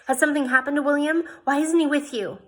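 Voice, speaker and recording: A woman's voice: sits high, steady tone, fast talker, a bit bright, pitched above the performer's own voice. A woman reading aloud and voicing an indignant, pleading young lady from Philadelphia. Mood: urgent. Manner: emphatic, pleading, raised voice.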